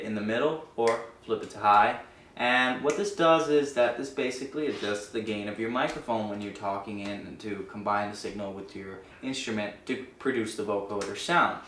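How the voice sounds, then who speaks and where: A man's voice: mid-range voice, a bit bright. A man hosting a gear review video, explaining settings in an informal, hesitant, casual way. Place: indoor room with guitar amp. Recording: roomy, distant mic with faint noise.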